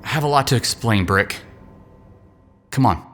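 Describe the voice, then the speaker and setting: Casting: actor for a pressing, secretive male schemer, sharp tone, lively intonation, sci-fi radio drama. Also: mid-range voice, moderate pace, close to an everyday voice. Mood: urgent. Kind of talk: scripted audio drama acting.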